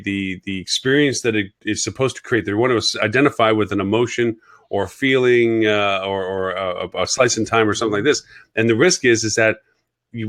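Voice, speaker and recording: A man's voice: lowish voice, fairly bright tone, no room sound. Rambling man, dry close sound. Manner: rambling, repeats words while reasoning. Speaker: co-host of a marketing show. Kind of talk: casual live-stream discussion.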